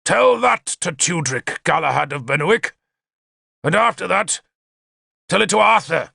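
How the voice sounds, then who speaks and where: A man's voice: high voice, animated, measured pace, gravelly, sharp tone, husky, no echo, voice pushed up, full character voice. A man voicing an imperious, vengeful warlord king in a menacing, declamatory manner, as in an audiobook. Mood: scornful rage.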